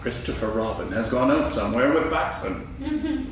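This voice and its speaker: A man's voice: medium pitch, huge pitch swings, moderate pace. A man playing a pompous, absentminded owl in a staged reading of a children's story, bluffing at being wise. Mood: self-important. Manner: pompous, ponderous, hesitant bluffing. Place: on stage in a hall, recorded from afar. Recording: echoey hall, distant, faint noise.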